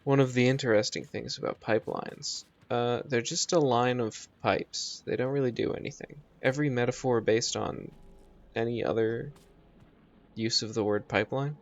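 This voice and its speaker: A man's voice: medium pitch, steady tone, normal speed, vocal fry, highs cut off. A man streaming a game, chatting casually in a musing, dryly joking way. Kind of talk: casual live gaming chat. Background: music.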